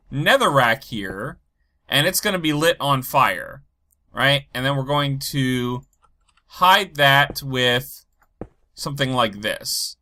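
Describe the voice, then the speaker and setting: Stuffy man rambling over gameplay. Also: pushed and bright. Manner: rambling and low energy, thinking aloud. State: stuffy from a head cold. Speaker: Minecraft let's play host. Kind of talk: casual gameplay commentary.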